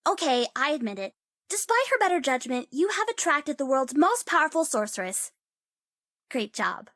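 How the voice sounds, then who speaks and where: High-pitched, cocky sorceress. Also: female voice, big pitch swings, normal speed, sharp tone, dry recording, studio quality, heavily acted. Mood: bashful, grudging. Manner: theatrical, teasing, swinging into wry asides. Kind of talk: video game character voice lines.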